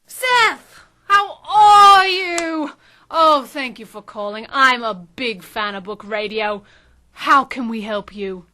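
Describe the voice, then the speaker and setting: An actress playing a brisk, persuasive marketing consultant with an emphatic, salesy manner in an audio drama. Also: female voice, high-pitched, expressive, sharp tone, heavily acted. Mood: gushing.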